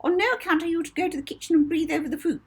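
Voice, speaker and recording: A woman's voice: very high voice, rapid-fire, pitched above the performer's own voice. A woman voicing a strict older orphanage mistress in a children's audiobook, with imperious, clipped scolding. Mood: stern scolding.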